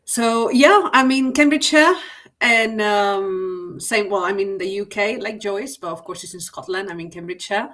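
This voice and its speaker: A woman's voice: mid-range voice, moderate pace, fairly bright tone. Woman, Spanish accent, easygoing reflective co-host voice. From Spain. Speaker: guest co-host, leadership coach. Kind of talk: casual conversation.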